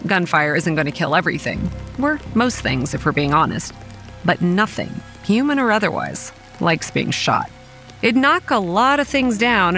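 An actress playing a young campground manager who narrates a horror audio drama in a wry, sardonic, foul-mouthed way. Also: female voice, fairly low pitch, a bit bright. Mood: wry, dry. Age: young adult. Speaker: narrator and lead character. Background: rain and music.

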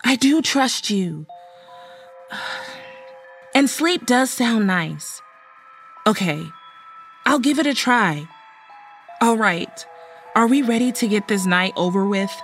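Young woman, anxious sardonic college student, higher pitch, scripted horror drama, soft music behind. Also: lively intonation, slow pace, long pauses, exaggerated voice. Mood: hesitant. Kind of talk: audio drama acting and first-person narration.

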